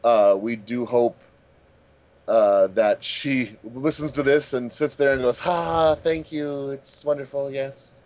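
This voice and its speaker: A man's voice: medium pitch, slow, a little mellow. A man hosting a casual group commentary, talking in a rambling, hesitant and complimentary way. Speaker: host of a fandub commentary track.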